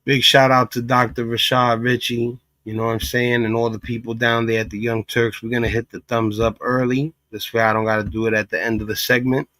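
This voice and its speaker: A man's voice: mid-range voice, level tone, a little fast, few pauses, fairly bright tone, dry recording, rough recording. A man from Puerto Rico hosting a casual reaction livestream, talking emphatically with frequent asides. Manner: emphatic, talks in repeated asides. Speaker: livestream commentary host.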